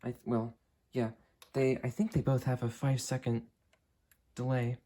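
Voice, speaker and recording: A young man in his late teens giving a casual, unscripted product demo in a halting, understated way. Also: mid-range voice, little pitch movement, warm tone. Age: late teens, young adult. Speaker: hobbyist reviewer. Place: indoor room at a desk.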